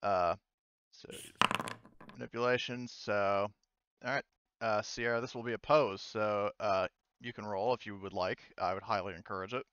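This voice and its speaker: A man narrating a tabletop game as game master, talking casually and haltingly with some swearing. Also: fairly low pitch, fairly even intonation, a bit bright, smooth, no echo, highs cut off.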